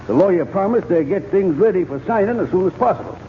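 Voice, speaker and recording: Stern western sheriff, animated pitch. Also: male voice, quick pace, a little hiss or hum. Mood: grave, suspicious. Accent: American. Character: stern, folksy western sheriff, measured and suspicious. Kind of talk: old-time radio western acting. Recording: tinny, band-limited old radio recording.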